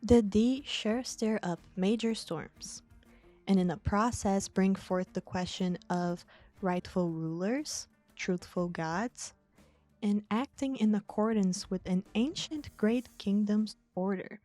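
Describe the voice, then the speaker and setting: A young woman talks in a slow, unhurried way. Her voice is warm and mellow. She sounds measured and self-deprecating, with faint music underneath. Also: medium pitch, dry recording. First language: Portuguese. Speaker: video essayist. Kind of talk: reading a scripted analysis, then chatting.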